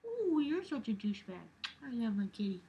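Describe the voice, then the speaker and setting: A woman's voice: fairly high pitch, big pitch swings, moderate pace, dull audio. A sarcastic, exasperated woman reading a book aloud and mocking it with profane commentary and theatrical emphasis. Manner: theatrical, exaggerated emphasis with sudden outbursts. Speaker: host of a comedic book-reading series.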